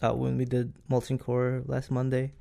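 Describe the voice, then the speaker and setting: A man hosting a podcast interview, asking questions in a halting way with many fillers and restarts. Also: mid-range voice, monotone, creaky, mellow. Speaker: podcast host. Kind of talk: casual interview chat.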